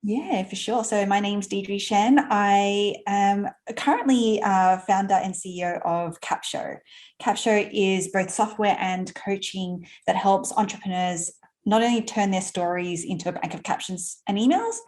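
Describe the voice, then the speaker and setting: A woman's voice: mid-range voice, a little slow, darkish tone. A woman in her thirties from Australia with an Australian accent, chatting as an interview guest, laughing often and using plenty of fillers and restarts. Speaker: guest entrepreneur. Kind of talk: casual conversational interview.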